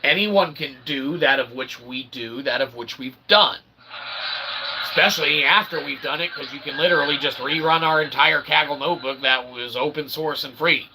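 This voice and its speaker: A man's voice: a little high, moderate pace. A man giving a rambling, informal monologue as a channel host, verbose and repetitive, with mock-grandiose convoluted phrasing and frequent check-in tags. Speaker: host of an independent online channel. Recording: clear background ambient noise.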